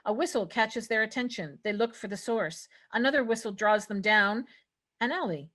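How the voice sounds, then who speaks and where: A woman's voice: fairly high pitch, moderate pace, fairly bright tone. A woman reading stage directions as the narrator at a script table read.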